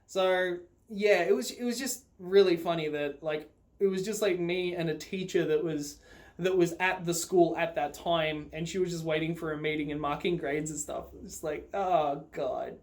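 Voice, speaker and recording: A vlogger chats casually to viewers with a mid-pitched voice. The delivery is rambling and informal, with loose swearing and a readiness to laugh, in the style of off-the-cuff personal storytelling. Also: moderate pace, a little mellow.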